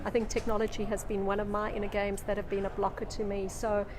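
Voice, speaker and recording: A woman's voice: medium pitch, flat delivery, fast, a crowd in the background. A middle-aged woman with a South African accent, a leadership coach speaking earnestly in long fluent sentences in an event interview. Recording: noisy event floor.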